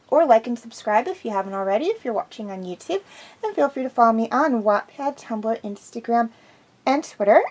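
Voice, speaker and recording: A woman's voice: a little high, darkish tone. A woman host chatting casually and enthusiastically through an episode review, slightly congested from a cold.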